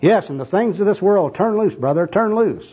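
Man doing a fervent, high-pitched religious zealot. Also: expressive, a little fast, husky. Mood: fervent, insistent. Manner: florid, preachy, exclamatory. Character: fervent jargon-spouting male religious zealot, friendly but insistent. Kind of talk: comic skit acted out in a sermon. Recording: dull, muffled lo-fi recording.

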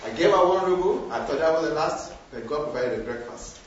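An older man from Nigeria with a Nigerian accent, telling a personal story to a group in an unhurried way with small hesitations. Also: on the high side, animated, slight background noise. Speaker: group participant sharing a story. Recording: echoey, far from the mic, dull.

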